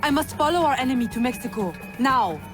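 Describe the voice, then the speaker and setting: A proud young female assassin from a video game. She speaks formally, with measured, unbending resolve, while music plays clearly behind her. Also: high voice, animated. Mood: resolute. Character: proud, defiant young woman assassin freeing slaves. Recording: music under the voice.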